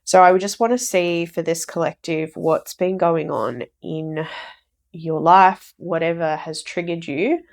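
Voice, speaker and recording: A woman giving a casual tarot reading for viewers, croaky from a recent flu, rambling and repeating herself. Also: lowish voice, steady tone, unhurried. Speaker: tarot reader.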